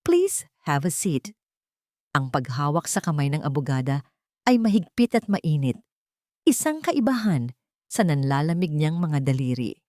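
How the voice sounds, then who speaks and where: A Filipino woman reads a scripted dramatic story. Her voice sits fairly low. The sound is dry, free of room echo. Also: very animated, normal speed, a little mellow. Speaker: story narrator. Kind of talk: scripted dramatic audiobook narration.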